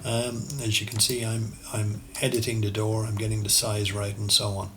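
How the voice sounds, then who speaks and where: A man with an Irish accent teaching architectural CAD over a screen recording, relaxed and thinking aloud as he works, often correcting himself. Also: on the low side, moderate pace, noisy background. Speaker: architectural designer and CAD tutor. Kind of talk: screen-recorded software tutorial.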